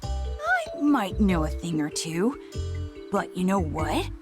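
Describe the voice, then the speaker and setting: A woman's voice: fairly low pitch, huge pitch swings, slow, exaggerated voice. A woman voicing a mischievous young boy who teases and bargains, in a game dub. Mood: coy. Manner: playful, teasing, bargaining. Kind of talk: video game voice acting. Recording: game audio with music behind.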